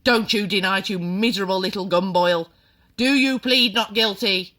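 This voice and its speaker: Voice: mid-range voice, normal speed, pitched above the performer's own voice, natural voice. A reader voicing a furious, bullying headmistress who barks and screams insults at a boy. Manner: barking, shouting insults.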